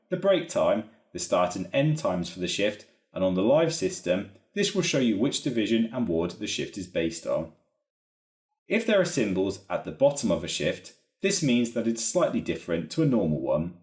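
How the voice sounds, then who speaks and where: The recording is roomy and echoey. A man with a fairly low voice narrates an instructional walkthrough. He explains each step methodically, with lively, varied intonation. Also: moderate pace. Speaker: software trainer.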